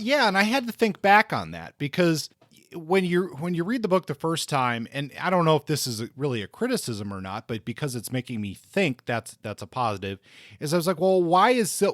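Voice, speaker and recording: A man's voice: fairly high pitch, sing-song, normal speed, fairly bright tone, dry recording, clean studio sound. A man co-hosting a book discussion podcast, talking casually and thinking aloud as he goes. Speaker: podcast co-host discussing books.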